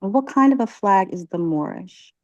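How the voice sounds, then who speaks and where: A woman leading a religious class, asking catechism questions and encouraging her students, often pausing with ums. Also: medium pitch, animated, mellow, no echo, lo-fi sound. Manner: encouraging, halting with many ums.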